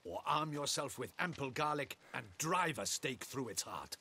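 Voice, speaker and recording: A proud captain of the guard in a video game. His voice is bright and forceful, his manner pompous and scornful. Faint ambient noise sits behind him. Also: on the high side, lively intonation, moderate pace, creaky, full character voice. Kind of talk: video game cutscene acting.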